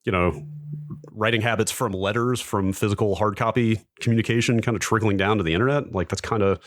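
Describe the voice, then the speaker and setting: A man's voice: on the low side, a little fast, no room sound, studio quality. A middle-aged American man co-hosting a podcast in casual, conversational talk. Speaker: podcast co-host. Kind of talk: casual chat.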